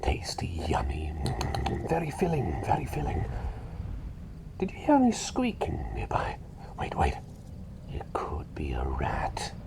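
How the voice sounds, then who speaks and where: Man voicing a fussy whispering bird doctor, swooping pitch. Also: wildly swooping pitch, unhurried, pauses often, heavily acted. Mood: musing, insistent, then curious, hesitant. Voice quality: breathy, half-whispered. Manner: rambling, repeats himself, politely donnish. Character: fussy, chatty doctor turned small talking bird. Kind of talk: ASMR roleplay, hushed personal attention.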